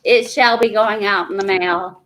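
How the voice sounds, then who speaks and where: A woman's voice: mid-range voice, steady tone, unhurried, crowd noise, rough recording. A woman in her forties chatting and teasing on a group video call. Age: 40s. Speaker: person showing mail on a group call. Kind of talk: casual chat.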